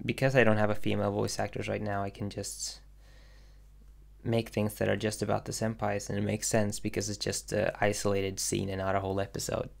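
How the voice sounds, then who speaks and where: A young man from Sweden chatting casually on a livestream, answering viewer questions in a hesitant, soft-spoken way with lots of ums and restarts. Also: fairly low pitch, completely flat delivery, brisk, gravelly. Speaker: independent animator streaming.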